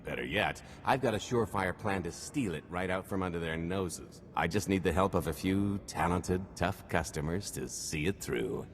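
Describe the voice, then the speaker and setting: An actor playing a sly, smooth-talking alien thief in a video game, with breezy salesman patter. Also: low-pitched, animated, normal speed, few pauses, faint music behind. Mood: confident pitch. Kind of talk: video game cutscene acting. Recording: echoing hall, background hum.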